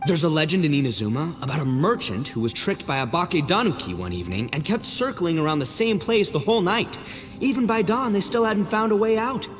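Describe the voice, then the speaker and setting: Brash young male Oni gang leader for a game: blustering, boastful, hugely varied pitch, music behind. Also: big pitch swings, a little fast. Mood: cocky, showy. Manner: theatrical, blustering, swings from cocky to flustered. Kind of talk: video game cutscene acting. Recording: long reverb, music behind.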